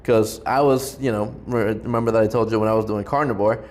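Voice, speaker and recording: A man's voice: fairly low pitch, steady tone, brisk, a little mellow. A man from Ecuador hosting a podcast, talking in a loose, rambling way with lots of "like" fillers. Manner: rambling, heavy use of "like" fillers. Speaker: podcast host. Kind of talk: casual interview chat.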